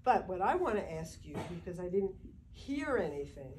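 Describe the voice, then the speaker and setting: An older woman asks a question from her seat in a meeting room, far from the camera, with no microphone. She speaks softly and hesitantly, rambling a little, in a mellow, dark voice. A faint hiss of room noise runs under her words. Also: on the low side, big pitch swings, normal speed. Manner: soft-spoken, hesitant, rambling. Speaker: audience member asking a question. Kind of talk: question from the floor.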